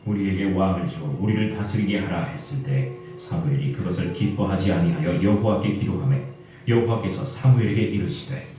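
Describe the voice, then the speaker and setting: A man's voice: sits low, a little fast, warm tone, soft background music. A man narrating a dramatised audio Bible in a formal scripted reading. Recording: echoey hall playback from far off.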